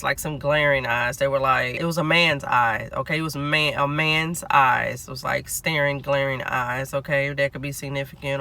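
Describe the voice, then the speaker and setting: Nasal, bright-voiced man reading tarot. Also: a little high. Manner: chatty, keeps checking in with "okay?". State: nasal, getting over a cold. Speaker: tarot reader. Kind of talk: collective tarot reading to camera.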